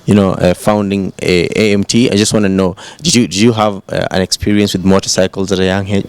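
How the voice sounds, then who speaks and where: A man's voice: sits low, fast talker, clear, resonant tone, no room sound. A man from Kenya, hosting an interview with hesitant questions and frequent short replies of agreement. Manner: lively, mixes short affirmations with hesitant questions. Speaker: show host.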